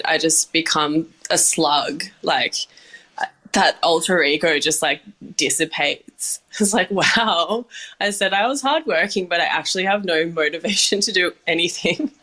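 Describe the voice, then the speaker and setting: Low-voiced young woman, chatty. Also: bright, forceful tone. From Australia. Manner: chatty, many "like" and "um" fillers, laughs often. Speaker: bar manager and junior sommelier, guest. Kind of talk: casual interview talk.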